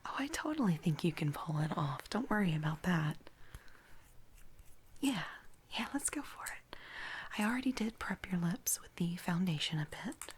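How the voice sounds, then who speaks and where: A woman whispering gently and haltingly in a soft-spoken ASMR personal-attention roleplay, playing an attentive makeup artist. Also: lowish voice, very animated, slight background noise. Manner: gentle, hushed, unhurried, halting with soft pauses.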